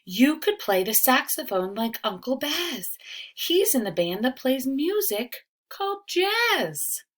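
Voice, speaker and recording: A woman's voice: high voice, very animated, takes it slowly, a bit bright, pitched above the performer's own voice, exaggerated voice. A woman voicing an encouraging, upbeat male older cousin who reassures a child in rhyming storybook lines. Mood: enthusiastic.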